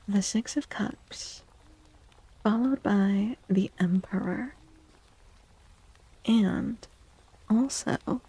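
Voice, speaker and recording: A woman reading tarot in a soft-spoken ASMR style, gentle and deliberate, often close to a whisper, with pauses and repeated phrases. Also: mid-range voice, slightly rough, dry recording, low-quality recording. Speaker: streamer, tarot reader.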